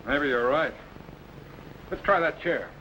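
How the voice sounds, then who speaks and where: An actor playing a confident, commanding time-travelling captain in a brisk, businesslike manner. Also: male voice, a little high. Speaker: lead character. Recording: noisy old radio recording.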